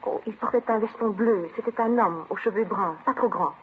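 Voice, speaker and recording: A frightened young French war bride, played by a woman in an old radio drama. She talks very fast in agitated bursts. The sound is narrow and muffled, with some hiss. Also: medium pitch, natural voice. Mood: anxious, eager.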